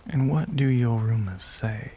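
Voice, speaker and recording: A man playing a courtly, menacing, ageless vampire with a Southern US accent, in an intimate audio roleplay, breathy and mostly whispered, with theatrical, genteel phrasing and long pauses. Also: medium pitch, darkish tone, slight background noise, lightly acted. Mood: teasing, genteel. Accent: American South.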